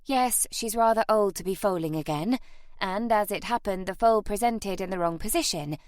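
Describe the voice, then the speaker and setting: A woman voicing a dignified, calm and determined young aristocratic lady in an audiobook. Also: medium pitch, moderate pace, dry recording, studio quality, lightly acted. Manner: poised, earnest, insistent.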